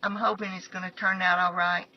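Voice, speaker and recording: A middle-aged woman with a slightly raspy voice, telling a personal story in a halting way, repeating phrases for emphasis. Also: lowish voice, flat delivery. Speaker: channel host. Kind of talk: personal storytelling, recounting a prophetic dream. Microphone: phone. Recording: dull lo-fi phone recording.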